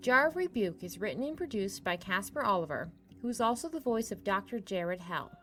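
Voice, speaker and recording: A woman's voice: mid-range voice, lively intonation, a little fast, fairly bright tone, soft background music. A woman reading scripted end credits for a fiction podcast. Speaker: credits reader.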